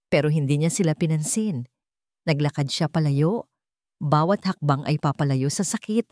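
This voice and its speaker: Low-voiced woman narrating drama. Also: darkish tone, dry recording, clean studio sound. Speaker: story narrator. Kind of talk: dramatic scripted narration of a love story.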